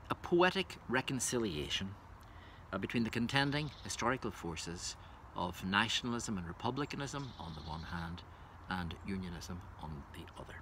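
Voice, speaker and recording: A man speaking as a poetry reader, introducing and reciting verse in a measured way. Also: on the low side, very animated, fairly bright tone, a little hiss or hum.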